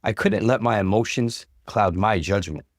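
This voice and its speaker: A man's voice: on the low side, normal speed, no echo, clean studio sound. A man reading a first-person relationship story as a dramatic scripted narration, acting out the emotion expressively. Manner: expressive, acts out dialogue.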